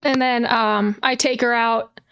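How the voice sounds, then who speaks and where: A woman plays a tabletop role-playing game, talking in a casual, joking way and swearing freely. Her voice sits high. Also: steady tone, measured pace, a bit bright, slight background noise. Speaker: player.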